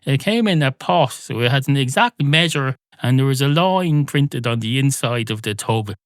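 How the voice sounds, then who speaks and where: Deadpan man rambling, dry close sound. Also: medium pitch, moderate pace, no echo. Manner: deadpan, absurd digressions. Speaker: podcast host. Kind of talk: rambling comic solo monologue.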